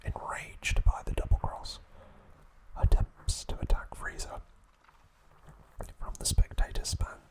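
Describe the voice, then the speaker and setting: A man hosting ASMR, giving a recap in a breathy, unvoiced whisper with gentle, measured pauses. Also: poor audio. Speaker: ASMR host.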